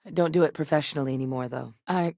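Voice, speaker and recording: Composed, low-voiced woman soldier-scientist. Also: rapid-fire, creaky, mellow, no room sound, clean studio sound. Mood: serious, analytical. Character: seasoned no-nonsense woman soldier turned xenobiologist in space. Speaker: video game character voice. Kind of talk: scripted video game dialogue.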